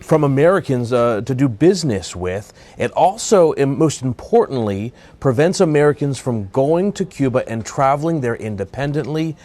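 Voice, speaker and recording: A man with a mid-range voice reports live as a news correspondent. His delivery is formal and somewhat halting, with hesitations between phrases. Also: medium pitch, expressive, darkish tone, no room sound.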